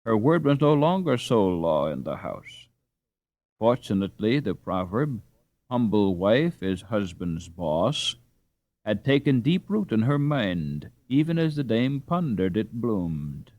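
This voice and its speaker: A man reading a story aloud as an audiobook narrator, in an expressive scripted style. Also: medium pitch, unhurried, dry recording.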